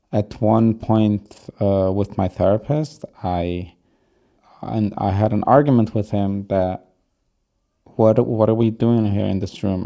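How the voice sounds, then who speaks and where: Young male interviewee, Yiddish native; unhurried, reflective delivery, low gravelly tone. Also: mellow. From upstate New York. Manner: slow, thoughtful, with pauses and fillers. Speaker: interview guest. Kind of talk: reflective personal storytelling.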